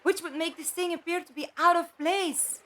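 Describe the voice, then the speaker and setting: A woman's voice: extremely high pitch, steady tone, moderate pace, bright, forceful tone. A woman acting in an audio drama as a blunt, slightly sardonic companion who asks direct questions. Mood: questioning, wry.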